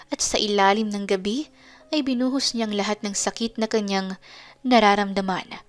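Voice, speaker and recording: A woman giving a dramatic scripted narration of a romance story. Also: medium pitch.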